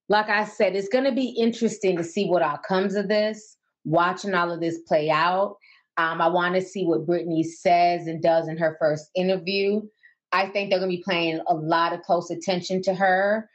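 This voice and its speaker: A woman's voice: fairly low pitch, highs cut off. A woman hosting a call-in show, chatting casually and giving listeners advice.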